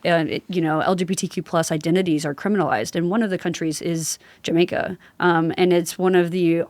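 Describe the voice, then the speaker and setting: A woman's voice: lowish voice, fairly even intonation, a little fast, darkish tone, dry recording. A woman hosting a conversational interview, speaking in an affirming, explanatory way as she frames questions for a guest. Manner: affirming, frequent short agreements. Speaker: podcast host.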